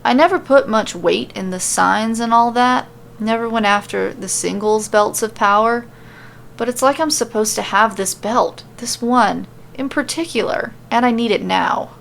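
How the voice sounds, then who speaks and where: A woman voicing a huge bearded storybook warrior, gruff and matter-of-fact, in a blunt manner on a slightly noisy recording. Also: a little high, moderate pace, a bit bright. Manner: grunting, mumbling, blunt.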